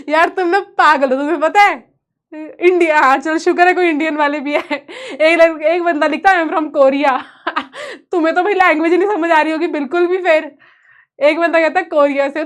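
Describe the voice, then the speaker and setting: A woman with an Indian accent teaching an informal live online class, playful and teasing with students and switching between two languages. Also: extremely high pitch. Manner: mixes Hindi and English.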